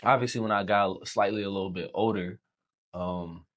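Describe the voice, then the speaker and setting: A young man from Haiti, a Creole speaker, telling his story as a podcast guest in relaxed slangy chat. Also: low-pitched, moderate pace. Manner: relaxed storytelling, slangy, says bro often. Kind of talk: casual chat.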